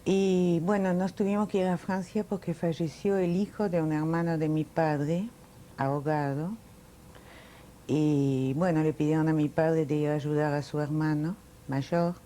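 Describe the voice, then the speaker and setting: Older woman, low mellow voice, reminiscing. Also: fairly even intonation, a little hiss or hum. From Italy. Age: 60s. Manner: reflective, unhurried storytelling with fillers. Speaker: interviewee. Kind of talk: oral history recollection.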